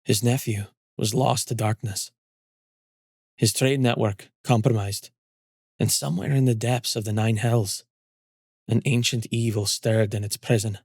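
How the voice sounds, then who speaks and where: A man reading scripted dramatic fantasy narration as a storyteller, in a measured, solemn manner. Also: on the low side, steady tone, darkish tone, dry recording, clean studio sound.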